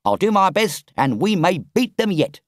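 Man doing a firm, soft-hearted storybook train driver; higher than his own voice, lively, dry sound. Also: moderate pace, no echo. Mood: stern. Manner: earnest, urgent. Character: gruff but kind-hearted male engine driver.

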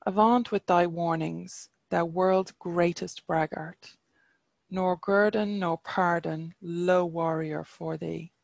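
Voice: mid-range voice, fairly even intonation, slow, creaky, no room sound, muffled recording. A reader voicing a proud, scornful male warrior champion, reciting archaic taunting verse.

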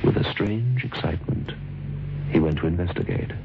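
Very deep male voice, ominous radio suspense narrator, dramatic, dull vintage sound. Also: huge pitch swings, normal speed, vocal fry, full character voice. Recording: dull old radio recording with music.

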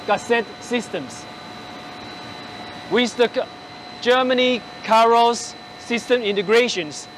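A man in his forties presenting machinery on a large factory floor, speaking haltingly with many fillers and restarts, using a lapel mic. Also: extremely high pitch, a little hiss or hum, rough recording. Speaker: company presenter on a factory tour. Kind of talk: product walkthrough.

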